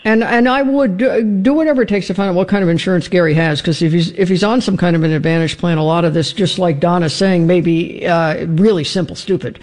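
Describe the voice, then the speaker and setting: A man's voice: high-pitched, fast talker, few pauses, a little mellow. A man hosting a call-in radio show, encouraging and supportive with his callers. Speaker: radio host.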